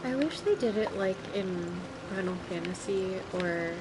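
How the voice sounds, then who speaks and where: A woman's voice: medium pitch, measured pace, very noisy. A woman game streamer giving casual live commentary, thinking aloud in a rambling way with chuckles slipped into her sentences. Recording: game music loud behind the voice. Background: loud video game music.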